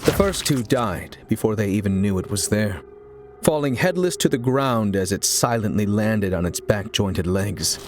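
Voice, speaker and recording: A man giving a dramatic, deliberate and suspenseful narration of a scripted audio story, with theatrical phrasing. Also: lowish voice, lively intonation. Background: music.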